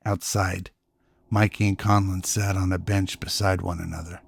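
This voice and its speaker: A man narrating a sci-fi radio drama as a measured storyteller, in scripted prose. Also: low-pitched, little pitch movement, vocal fry, slightly rough, no room sound, studio quality, heavily acted.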